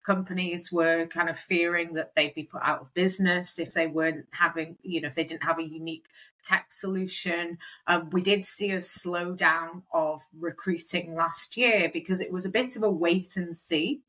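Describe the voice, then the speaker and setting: A woman's voice: lowish voice, steady tone, moderate pace, a bit bright, poor audio. A woman, a recruitment company founder, chatting as a guest in an interview, with a lot of "kind of" and "um". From Wales. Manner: chatty, lots of fillers like "kind of" and "um". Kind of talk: conversational interview.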